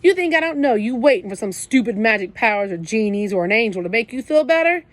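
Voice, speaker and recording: A tough teenage brother , played by a woman reader, talks down in blunt streetwise slang. He is gruff and scolding, yet protective underneath. The voice sits a little raised, as in a storybook audiobook. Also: a little high, expressive, quick pace, pitched above the performer's own voice, natural voice. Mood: gruff comforting.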